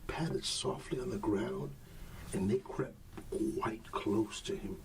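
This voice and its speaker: A man softly reading a bedtime story in an ASMR style, soothing and often dropping into a whisper. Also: mid-range voice, slow, vocal fry, distant mic, noisy background. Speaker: ASMR storyteller playing a dad.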